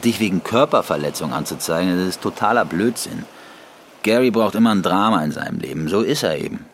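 A level-headed, candid cousin in a radio drama. His very low voice moves expressively as he speaks his mind in a measured way. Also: lively intonation, slight background noise. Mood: concerned, frank. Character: frank, level-headed cousin, a family man. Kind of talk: naturalistic radio drama acting.

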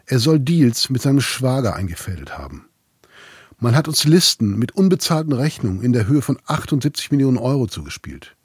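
A man's voice: mid-range voice, sing-song, a little fast, husky, no room sound, studio quality. A man narrating a scripted investigative podcast as its reporter and host.

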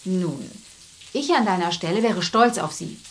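Radio play: kindly old female confidante, earnest, coaxing, animated intonation. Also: lowish voice, a little slow, a little hiss or hum. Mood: earnest, encouraging. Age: older. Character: kindly, encouraging old woman, boy's confidante.

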